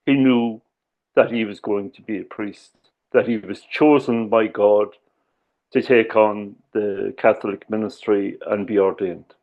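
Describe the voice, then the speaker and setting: A man with an Irish accent giving a talk as a slow, measured storyteller, often pausing on "uh" and "um". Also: fairly low pitch, steady tone, phone-quality sound. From Ireland. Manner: measured, with frequent "uh" and "um". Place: lives in the UK.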